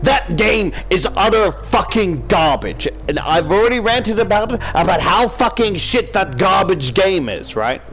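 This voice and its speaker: Male game commentator, fairly high voice, unhurried delivery, exasperated and sweary rambling, prominent music underneath. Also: lively intonation, fairly bright tone. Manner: profane, exasperated ranting with emphatic repetition. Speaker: video game reviewer, YouTuber.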